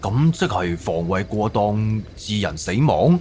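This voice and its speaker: A man's voice: fairly low pitch, sing-song, measured pace, mellow, soft background music. A man acting an exasperated middle-aged police captain in a Cantonese audio drama, with a drawling, scolding manner. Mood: questioning. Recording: faint background noise.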